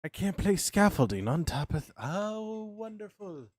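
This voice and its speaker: Chatty man in his thirties, lively pitch. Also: measured pace. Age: 30s. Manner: chatty self-talk, humming filler syllables and repeating words. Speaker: streamer. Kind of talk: casual live-stream commentary while building in a game.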